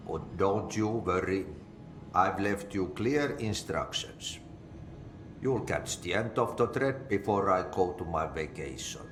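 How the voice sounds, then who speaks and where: An actor with a Finnish accent playing an eccentric, cheerful janitor who talks slowly in a sing-song way and mangles idioms. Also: male voice, deep voice, fairly bright tone, echoey room, soft background music. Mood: reassuring. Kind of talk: video game cutscene acting.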